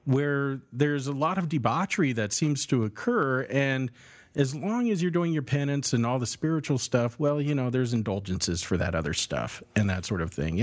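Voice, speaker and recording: A man's voice: medium pitch, darkish tone. A man co-hosting a theology podcast as a pastor, explaining ideas in a casual, conversational and slightly wry way. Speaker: podcast co-host, Lutheran pastor.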